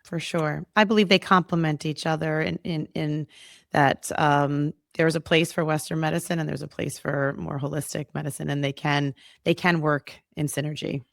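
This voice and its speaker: Woman, guest, from New York, gravelly fry, warm and chatty, dry close mic. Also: deep voice, steady tone, normal speed, no room sound. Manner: warm, chatty, laughs easily. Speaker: guest, massage and reiki therapist. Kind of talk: casual interview.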